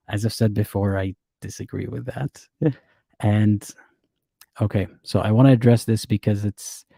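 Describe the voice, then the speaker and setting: A man hosting a live stream with callers, reading out chat comments and giving his opinions in a relaxed, casual way. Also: fairly low pitch, gravelly, dry recording, studio quality. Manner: relaxed, reads out chat comments and muses aloud. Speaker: livestream host taking callers.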